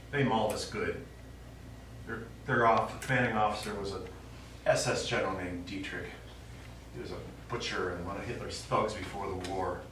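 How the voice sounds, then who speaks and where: An actor playing a stubborn old American war veteran and father, telling his memories haltingly in a stage play. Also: fairly low pitch, close to an everyday voice. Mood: grim recollection. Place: small theater stage, recorded from the audience. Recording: distant, very echoey theater recording, faint noise.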